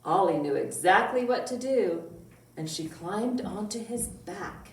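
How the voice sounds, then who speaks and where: A woman reading a picture book aloud to young children as a storytime librarian, with drawn-out words and dramatic emphasis. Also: medium pitch, animated. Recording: roomy echo, distant mic, faint noise.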